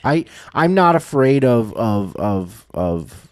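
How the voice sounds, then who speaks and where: A man in his thirties, co-hosting a podcast, chatting casually and reminiscing in an animated way. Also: medium pitch, takes it slowly, dry recording, studio quality. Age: 30s. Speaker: podcast co-host. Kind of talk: casual chat.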